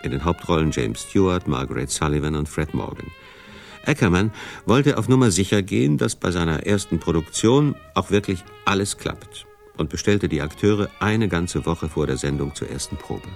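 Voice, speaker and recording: A man's voice: sits low, animated, normal speed, vocal fry, faint music behind. A man reading scripted narration as the narrator of a radio documentary, recorded in a studio.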